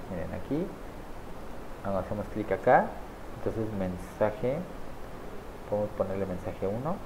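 A man in his thirties casually walking viewers through a software setup on screen, checking in with them often as he clicks along. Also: lowish voice, highs cut off, a little hiss or hum. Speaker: online marketing tutor. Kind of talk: screen-share software tutorial. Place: small indoor room at a desk.

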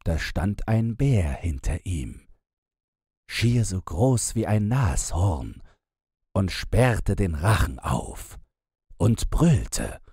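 A man's voice: deep voice, a little mellow, breathy edge, no room sound, clean studio sound. A man narrating a fairy tale as a bedtime audiobook, in a scripted storytelling style.

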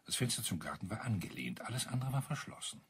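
A self-assured colonel from a detective radio drama. His voice is fairly low and very breathy, rising and falling animatedly as he lays out a mystery with teasing relish. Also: big pitch swings, fast, fairly bright tone, heavily acted. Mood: matter-of-fact telling. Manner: teasing, expository, savours his account. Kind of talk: German crime radio play acting.

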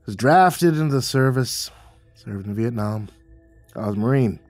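A man doing a gruff old veteran neighbor. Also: medium pitch, lively intonation, moderate pace, a little mellow, no room sound, soft background music, lightly acted. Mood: gruff, low. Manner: blunt, crude, grumbling.

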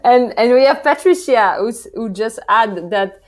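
A woman's voice: fairly high pitch, lively intonation, measured pace. A woman in her thirties hosting a casual interview, smiling and enthusiastic as she talks. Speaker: podcast host. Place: indoors, video call. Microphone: wired earphone mic.

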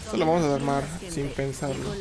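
Gravelly young man streaming gameplay. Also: mid-range voice, steady tone, fairly quick. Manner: chatty, reads chat aloud, swings into loud exclaims. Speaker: streamer playing a strategy game. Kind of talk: casual live gameplay commentary. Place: small room at home. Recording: game music behind the voice.

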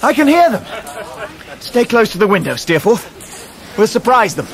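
An actor playing a polite, eager young English gentleman in a radio drama. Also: high-pitched, sing-song, normal speed, background noise. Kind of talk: full-cast radio drama acting.

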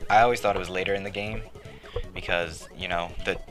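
A young man giving rambling, joking commentary while playing a game, his voice a little raspy. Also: low-pitched, fairly even intonation, a little fast. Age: late teens to young adult. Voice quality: slightly raspy. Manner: joking, rambling, self-mocking. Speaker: let's play commentator. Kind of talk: casual gameplay commentary. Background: game music.

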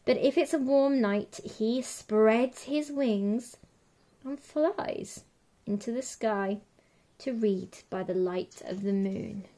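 A woman reading a children's picture book aloud as a teacher would, in an expressive, measured way. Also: mid-range voice. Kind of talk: children's storybook read-aloud.

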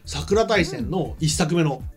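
A man's voice: on the high side, expressive, takes it slowly. A middle-aged man chatting casually as a talk show host, informal, repeating phrases for emphasis. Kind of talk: casual banter on a live stream talk show. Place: small indoor studio set at a desk. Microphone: desk microphone on a stand. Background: background music.

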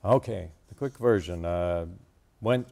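An American man in his sixties with a low, deep voice talks as an interview guest. His delivery is casual and halting, with a dry, wry edge. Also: gravelly, warm tone. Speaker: interview guest, theater performer. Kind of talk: casual chat. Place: TV studio.

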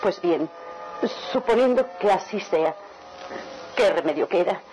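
A woman's voice: fairly low pitch, lively intonation, unhurried, mellow, a little hiss or hum, poor audio. An actress playing an anxious, protective wife and mother in an emotional, pleading radio melodrama style. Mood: uneasy. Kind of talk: melodramatic radio drama acting.

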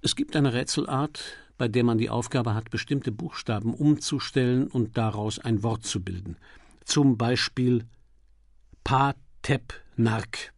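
Man, medium pitch, gravelly creak, dry close sound, scripted crime narration, deliberate and dramatic. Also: no echo. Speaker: audiobook narrator.

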